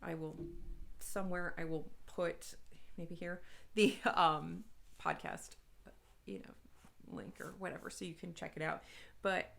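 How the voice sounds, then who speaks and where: A woman's voice: lowish voice, steady tone, unhurried, gravelly, long pauses, fairly bright tone. A woman talking casually and emphatically to viewers as a book vlogger, in an informal, rambling, self-deprecating way. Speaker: book review vlogger and podcast co-host. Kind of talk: casual chatty video monologue to viewers.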